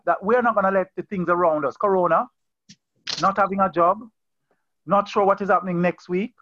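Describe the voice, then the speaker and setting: A man's voice: high-pitched, fairly bright tone, lo-fi sound. A man leading a Bible study over a video call, preaching in an earnest, conversational way and often repeating and restarting phrases. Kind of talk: informal sermon on a video call.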